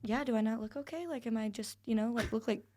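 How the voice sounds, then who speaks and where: A young American woman, a visiting student, chatting casually and reacting quickly on a podcast. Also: on the high side, over a noisy crowd. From United States.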